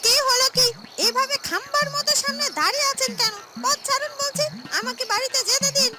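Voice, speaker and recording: A woman voicing an indignant, sharp-tongued young village woman in a cartoon. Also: very high-pitched, a little fast, very noisy, pitched above the performer's own voice. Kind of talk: Bengali moral-story cartoon dubbing. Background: loud music.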